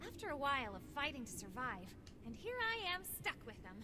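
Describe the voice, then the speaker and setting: A woman's voice: sits high, big pitch swings, a little fast. A woman playing a spirited, loyal young female comrade in a video game cutscene. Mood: wry, fond. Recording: noisy game stream capture.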